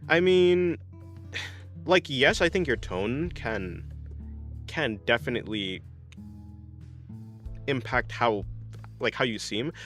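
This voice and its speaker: Young man, art streamer, casual chat, lively pitch, restarts a lot, faint music behind. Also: slow, pauses often. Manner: rambling, many restarts and fillers, laughs often. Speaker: artist streamer reading chat. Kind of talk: casual livestream chat while drawing.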